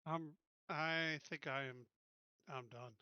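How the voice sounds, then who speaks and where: A man's voice: on the high side, fairly even intonation, slow pace, pushed and bright, clear, resonant tone, no room sound, highs cut off. A man chatting casually as a player in a tabletop game. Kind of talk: casual chat.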